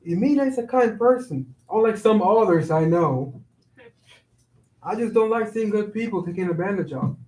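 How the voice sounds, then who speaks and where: A man's voice: sits high, animated, normal speed, darkish tone. A man acting an earnest, worried and insistent friend in a staged play reading, blunt and pressing. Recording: echoey room.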